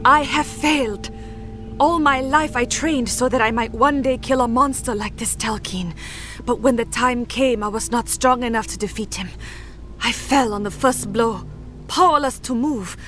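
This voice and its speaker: A woman's voice: on the high side, normal speed, fairly bright tone, soft background music, natural voice. An actress playing a solemn female warrior-scholar of an ancient order in a video game, speaking with earnest, formal drama. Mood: anguished, urgent.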